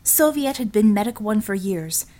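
A woman's voice: mid-range voice, animated, normal speed, mellow. A woman reading a scripted story as an audiobook narrator, in a dramatic storytelling style.

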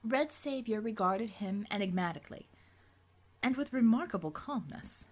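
A woman's voice: mid-range voice, animated, normal speed, darkish tone. Female audiobook narrator, mid-range voice, scripted dramatic storytelling.

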